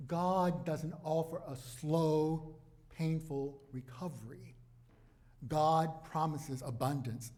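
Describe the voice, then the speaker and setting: A man preaching a sermon as a pastor, in deliberate, emphatic phrases broken by dramatic pauses. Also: on the high side, fairly even intonation, darkish tone.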